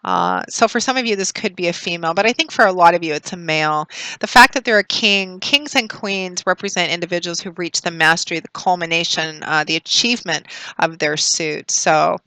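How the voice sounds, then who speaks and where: A woman giving a monthly tarot reading, recovering from a cold and slightly raspy, explaining cards in a meandering way with frequent fillers. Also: fairly low pitch, brisk, sharp tone, no room sound, poor audio. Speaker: tarot reader.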